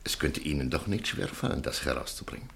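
Radio-play extortioner, very deep voice, soft-spoken, coaxing, measured. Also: male voice, animated, gravelly, slight background noise, full character voice. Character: smooth, calm male extortioner named Walter. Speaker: radio drama character.

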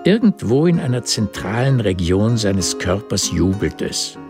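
A man's voice: lowish voice, very animated, unhurried, over music. A man reading literary narration as the narrator of a German radio drama.

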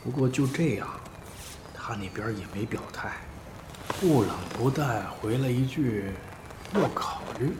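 A man playing a wealthy, middle-aged business boss and indulgent elder, proud and measured, in a modern audio drama. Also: medium pitch, expressive, echoey room, background noise, lightly acted. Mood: measured, disapproving. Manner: measured, authoritative, elder's casual grumbling.

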